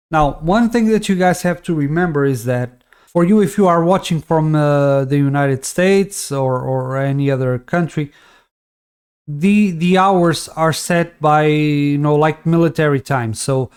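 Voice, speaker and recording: Man, Portuguese native speaker, slightly high pitch, casual tutorial, lots of ums and restarts. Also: clean tone. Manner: relaxed, rambling, frequent fillers and restarts. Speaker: tutorial host. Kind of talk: casual screen-recorded game tutorial.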